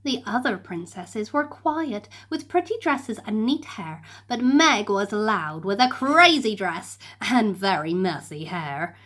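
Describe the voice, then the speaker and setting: A woman narrating a children's storybook in an expressive, playful way. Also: mid-range voice. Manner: animated, slow and playful. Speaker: storybook reader.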